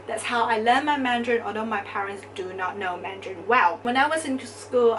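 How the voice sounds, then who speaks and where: A young woman with a Malaysian English accent talks casually to her viewers, laying out her points one by one. Her voice sits a little high. She is picked up from a short distance, with a faint hiss behind her. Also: fairly bright tone. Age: young adult. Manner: chatty, explaining step by step. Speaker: student vlogger. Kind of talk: casual vlog talk to camera.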